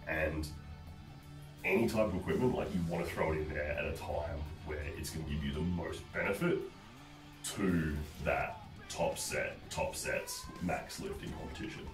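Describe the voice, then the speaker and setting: A man in his thirties with a croaky, sick-sounding voice, chatting bluntly and casually as a coach giving tips, with some swearing. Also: extremely low pitch, huge pitch swings, normal speed. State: sick with a chest infection. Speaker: host, powerlifting coach. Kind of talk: casual instructional chat. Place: small bare-walled room indoors. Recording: roomy echo, distant mic, faint music.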